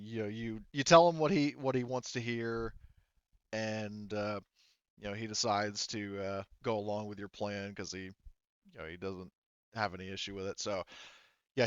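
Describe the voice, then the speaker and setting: A man narrating a tabletop game as game master, conversational and halting, pausing to rephrase as he goes. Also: lowish voice, fairly bright tone, clear, resonant tone, dry recording, muffled recording.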